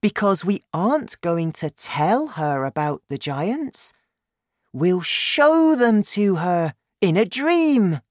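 A woman voicing an excitable, determined little girl in a children's story, speaking in an eager, dramatic way. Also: lowish voice, takes it slowly, dry recording, clean studio sound, voice pushed up. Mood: excited, determined.

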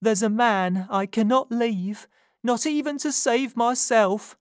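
A woman's voice: on the high side, slow pace, dry recording, clean studio sound, pitched above the performer's own voice. Woman voicing a frightened young woman, urgent. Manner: urgent, pressing, tense.

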